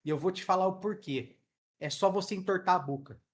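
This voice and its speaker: A man's voice: sits high, fairly quick. A Brazilian man teaching English in a casual live online class, chatty and joking with slang, speaking into a headset. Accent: Brazilian Portuguese.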